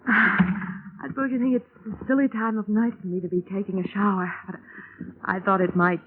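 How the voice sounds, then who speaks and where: An actress playing a coy, evasive young widow in a 1950s radio drama, speaking in a breathy, flustered way. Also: female voice, medium pitch, fairly even intonation, normal speed, a little hiss or hum, lightly acted. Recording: tinny old radio broadcast.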